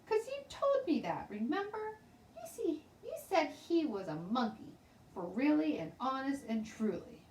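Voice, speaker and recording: A woman's voice: high voice, sing-song, unhurried, darkish tone, pitched above the performer's own voice. A woman voicing a stubborn, chatty little kindergarten girl with childish, emphatic grammar in a children's storybook read-aloud. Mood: loud, insistent. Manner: childish ungrammatical speech, emphatic, insistent. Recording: roomy, distant mic, faint noise.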